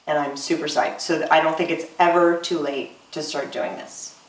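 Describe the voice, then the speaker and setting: A woman in her forties, a singer, talking earnestly in a casual interview with many pauses and "um"s, recorded roomy from off-mic. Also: deep voice, far from the mic. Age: 40s. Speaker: singer and voice student.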